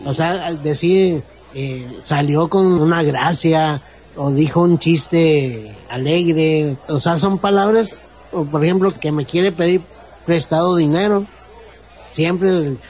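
Baja California Mexican man, somewhat high voice, long-winded explainer. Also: darkish tone, low-quality recording. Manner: explanatory, drawn-out, acts out sayings. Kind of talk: casual group conversation.

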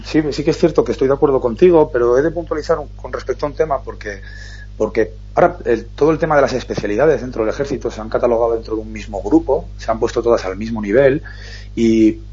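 Fast-talking man, very husky. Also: mid-range voice, mellow, lo-fi sound, soft background music. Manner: fast, earnest, explanatory. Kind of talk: casual radio chat.

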